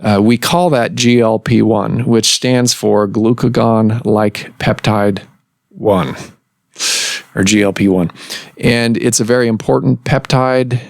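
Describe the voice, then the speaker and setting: A man hosting a medical podcast as a doctor, explaining in a measured way with some fillers, recorded in a clinic office. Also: fairly low pitch, fairly even intonation, vocal fry, dry recording, studio quality.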